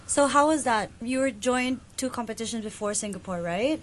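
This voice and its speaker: Female podcast co-host, slightly higher voice, easy questioning style. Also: moderate pace, a little hiss or hum. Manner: conversational, probing questions. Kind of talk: interview hosting.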